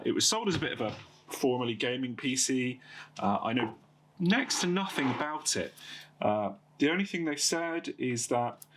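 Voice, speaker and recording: Male host, croaky from a cold, hesitant workshop chatter, noisy home recording. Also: mid-range voice, fairly bright tone. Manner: hesitant, many uh and um fillers. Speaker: host of a PC repair channel. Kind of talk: casual hands-on repair talk.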